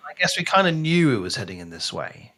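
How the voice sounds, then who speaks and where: A man's voice: medium pitch, very animated, fast talker, pushed and bright. A man co-hosting a podcast, casually discussing a book and rephrasing as he thinks aloud. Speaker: podcast co-host.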